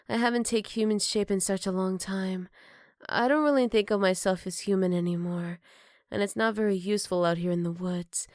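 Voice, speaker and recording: A woman playing a gentle, caring motherly werewolf in an ASMR roleplay, soft-spoken with hesitant pauses and tender teasing. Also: medium pitch, dry recording, studio quality, close to an everyday voice. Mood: shy, tender. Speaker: voice actor. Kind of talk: ASMR roleplay addressed to the listener.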